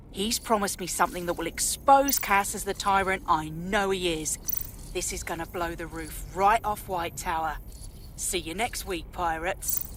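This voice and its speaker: A woman's voice: mid-range voice, fairly bright tone, a little hiss or hum, close to an everyday voice. An actress playing a bold female pirate-radio podcaster with punchy broadcast patter.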